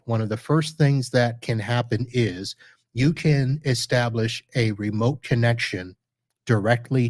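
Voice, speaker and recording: A man hosting a podcast, casually walking through a software demo live while sharing his screen, chatty and correcting himself as he clicks around. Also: medium pitch, no echo. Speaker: podcast host reviewing network gear.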